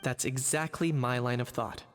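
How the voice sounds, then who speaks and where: A man's voice: medium pitch, fairly even intonation, moderate pace, close to an everyday voice. An actor playing a cool, reserved young man in a video game, terse and matter-of-fact. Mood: serious. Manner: terse, serious. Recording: hall-like reverb. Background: soft music.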